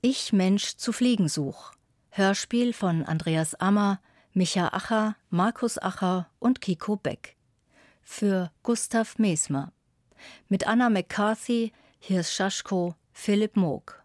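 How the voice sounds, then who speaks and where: Unhurried woman announcer, very dry sound. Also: medium pitch, a little mellow, no room sound. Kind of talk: scripted radio drama credits announcement.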